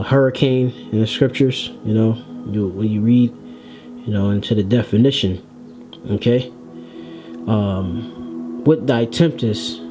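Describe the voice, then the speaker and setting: A man giving an informal scripture lesson, explaining word by word in a halting, deliberate way and often checking in as he goes. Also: medium pitch. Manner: halting, deliberate, frequently checking in with "all right". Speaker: religious Hebrew language teacher.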